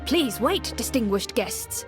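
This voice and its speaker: A woman's voice: a little high, animated, slow, music in the background. A woman voicing deferential, formal townsfolk of a fantasy kingdom in a video game story. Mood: polite, formal. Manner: courteous, formal.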